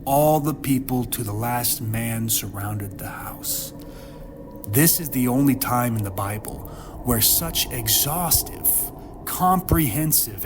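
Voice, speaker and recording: A man hosting a Bible podcast, delivering dramatic scripted commentary and scripture reading with emphatic repetition, rhetorical questions and deliberate pauses. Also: mid-range voice, noisy background. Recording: music playing under the voice.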